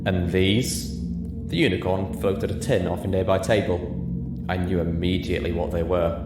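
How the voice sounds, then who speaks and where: A man's voice: low voice, level tone, fairly quick. A man reading a story aloud as dramatic audiobook narration. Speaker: story reader. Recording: roomy echo with music behind. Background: music.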